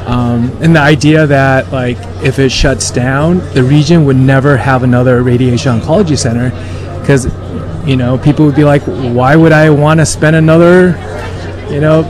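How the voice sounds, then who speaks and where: A man's voice: mid-range voice, fairly even intonation, normal speed. A man from China who grew up in Los Angeles, talking earnestly and informally as an interview guest. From born in rural China, raised in Los Angeles. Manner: informal, peppered with 'like' and 'you know'. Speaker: interview guest, cancer center operator. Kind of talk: casual, earnest interview talk. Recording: crowd and traffic noise behind.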